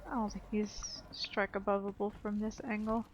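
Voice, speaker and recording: A woman with a mid-pitched voice chats casually over a video game. Her manner is informal and wry, and faint noise and ambience sit behind her. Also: level tone, normal speed, muffled recording. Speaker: gaming video creator. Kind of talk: casual gameplay commentary.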